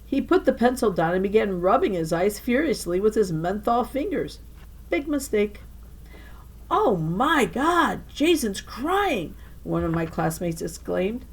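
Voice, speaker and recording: A woman reading a children's novel aloud like a teacher to her class, with animated storytelling and emphatic phrasing. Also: mid-range voice, moderate pace. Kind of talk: expressive children's novel narration.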